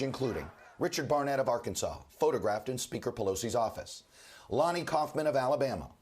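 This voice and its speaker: A man anchoring a news broadcast and interviewing a guest, speaking with a rough, hoarse voice while under the weather. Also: mid-range voice, darkish tone. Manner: measured, formal newsreader delivery.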